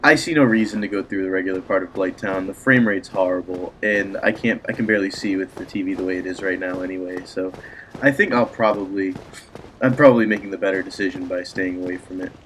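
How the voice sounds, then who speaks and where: A man's voice: deep voice, fast, rough recording. A man with a stuffy cold voice giving chatty, rambling commentary while he plays a video game. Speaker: let's play host. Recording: steady background noise.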